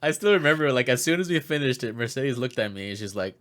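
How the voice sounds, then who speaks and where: A man co-hosting a show in casual chat, joking and quick to laugh. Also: medium pitch, expressive, fairly bright tone. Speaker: co-host of a pop culture show.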